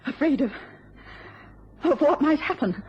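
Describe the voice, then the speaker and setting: An actress playing a gentle, anxious, devoted wife in a radio drama, speaking politely and haltingly. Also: female voice, sits high, lively intonation. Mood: tearful, fearful. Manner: polite, halting, breaking off when flustered. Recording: dull, noisy old radio recording.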